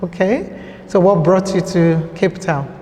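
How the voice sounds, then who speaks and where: A woman's voice: fairly low pitch, measured pace, dark tone. A woman hosting a talk show in a conversational interviewing style, often repeating answers back, recorded with echoey reverb.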